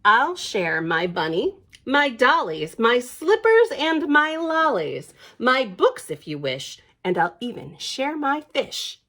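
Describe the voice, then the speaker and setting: A woman voicing a possessive, hat-loving female bear in a picture book, with emphatic, drawn-out refusals. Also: fairly high pitch, big pitch swings, fairly bright tone, exaggerated voice. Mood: firm, possessive.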